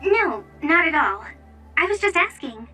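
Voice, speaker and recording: A woman voicing a reserved, gently blunt young female soldier in a video game, speaking in an understated way. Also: extremely high pitch, very animated, unhurried, far from the mic, faint music behind, heavily acted. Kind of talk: video game cutscene acting.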